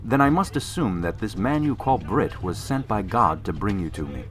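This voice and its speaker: An actor playing a solemn, commanding ancient war leader of great faith in an audio drama, speaking in formal, stately phrases. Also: male voice, on the low side, very animated, normal speed, faint music behind. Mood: solemn, assured.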